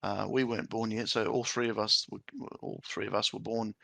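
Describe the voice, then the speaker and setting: Bright-voiced man chatting. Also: on the low side, little pitch movement, brisk, creaky. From Australia. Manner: loose and chatty, leans on "you know" and "um". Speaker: podcast host. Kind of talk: casual chat.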